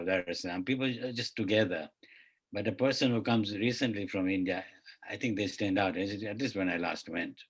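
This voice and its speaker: A man's voice: deep voice, low-quality recording. A man speaking as a panelist in an online discussion, reflective and hedging, often trailing off mid-thought. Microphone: video call.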